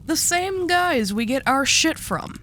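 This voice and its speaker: Lady playing a sharp-tongued mob boss woman, wry and curt, audio rp, bit of background noise. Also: sits high, expressive, slow, heavily acted. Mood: hard, determined. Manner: dry, curt, teasing. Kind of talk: audio roleplay drama acting.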